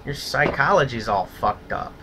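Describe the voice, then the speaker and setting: A man's voice: mid-range voice, animated, moderate pace, gravelly, background noise. A man delivering a blunt, profane, contemptuous opinion rant as a solo vlogger.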